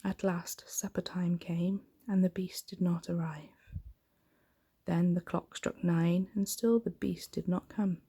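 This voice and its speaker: Hushed, breathy woman reading a bedtime story. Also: fairly low pitch, fairly even intonation, takes it slowly. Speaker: ASMR storyteller. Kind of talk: soft-spoken ASMR bedtime story reading.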